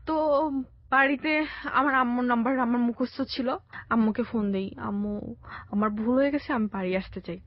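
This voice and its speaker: High-voiced young Bangladeshi woman, slightly dull sound. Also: moderate pace, long pauses. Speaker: guest telling own life story. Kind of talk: conversational personal storytelling. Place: radio studio.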